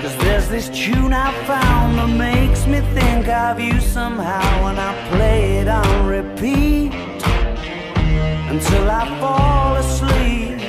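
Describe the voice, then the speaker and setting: A woman's voice: on the high side, drawn-out words. A woman singing a pop song. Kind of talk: slow pop cover singing. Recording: reverberant vocal over loud music.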